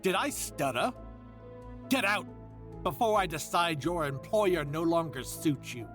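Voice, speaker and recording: A man's voice: on the high side, lively intonation, measured pace, a bit bright. An actor playing a domineering, possessive older man who has just bought a prized captive. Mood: angry. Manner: commanding, possessive. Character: possessive older male collector, domineering and cold. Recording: music bed behind.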